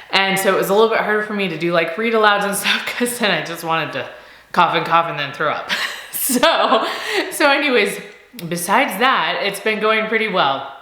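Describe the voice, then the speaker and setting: A woman in her thirties, a homeschooling mom, chatting casually in a vlog, slightly nasal from a cold, upbeat and quick to laugh. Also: mid-range voice, sharp tone, echoey room. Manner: upbeat, laughs often, repeats words for emphasis.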